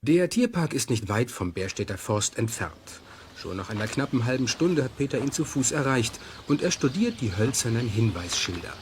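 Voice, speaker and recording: A man's voice: mid-range voice, big pitch swings, normal speed, slight background noise. A man reading scripted narration for a children's radio play. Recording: clear animal ambience behind voice.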